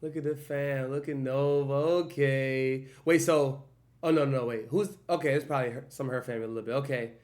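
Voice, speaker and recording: A man hosting a reaction channel, talking loosely in slang and breaking into quick hyped outbursts between calmer remarks. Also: mid-range voice. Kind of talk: casual music video reaction commentary.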